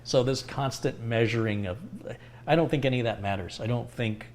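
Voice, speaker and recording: A middle-aged man hosting a live tech stream, answering viewer questions in a calm, unhurried, reflective way. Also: medium pitch, a little hiss or hum. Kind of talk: casual live Q&A chat.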